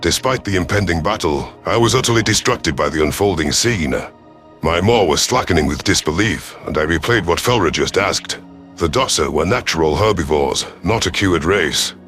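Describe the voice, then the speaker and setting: A man's voice: deep voice, expressive, moderate pace, fairly bright tone, faint music behind. A man narrating a first-person science fiction story in a dramatic audiobook style.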